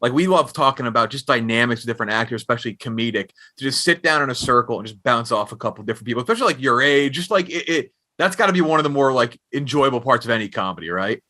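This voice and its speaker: A man's voice: mid-range voice, lively intonation, fast, few pauses, pushed and bright. A man hosting a podcast, chatting casually and informally as he interviews a guest.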